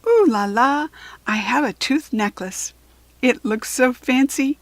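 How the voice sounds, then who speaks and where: An American woman voicing a dramatic, excitable little girl from a storybook, in an emphatic, expressive way. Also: high voice, takes it slowly, dry recording. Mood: delighted. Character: dramatic, excitable little girl who loves fancy words.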